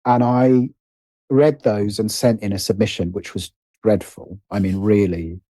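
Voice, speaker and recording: A man's voice: fairly low pitch, unhurried, warm tone, no echo. A British man telling personal stories conversationally as an interview guest, hesitant and often interrupting himself as he thinks aloud.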